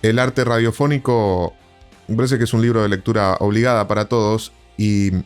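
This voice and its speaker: A man's voice: on the low side, steady tone, moderate pace, smooth, soft background music. An Argentine man hosting a podcast interview in a casual, conversational way.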